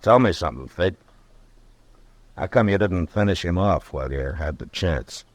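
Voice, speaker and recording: A weary lawman of the American frontier in a radio western. His voice sits very low. He speaks with an American accent, terse and dry, with steady authority. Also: normal speed. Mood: dry, grave. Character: stern, weary, authoritative male frontier US marshal. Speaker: actor playing the lead lawman and narrator. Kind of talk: old-time radio western acting and narration.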